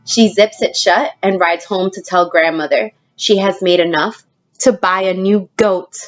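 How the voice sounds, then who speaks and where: A woman's voice: fairly low pitch, fairly even intonation, measured pace, muffled recording, soft background music. A woman teacher doing a children's read-aloud, explaining as she goes and speaking directly to her young listeners. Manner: addresses children directly, explaining words and asking questions. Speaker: elementary school teacher reading aloud to students.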